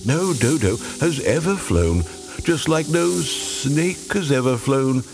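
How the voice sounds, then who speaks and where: Man putting on a high, stern old crone snake. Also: expressive, slow, vocal fry, over music, exaggerated voice. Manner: hissing, stern, dismissive. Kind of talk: children's storybook character voice.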